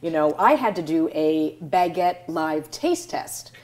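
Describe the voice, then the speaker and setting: A middle-aged woman hosting an interview in a small studio, speaking with energetic enthusiasm. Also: deep voice, a little slow. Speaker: host. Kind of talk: upbeat interview.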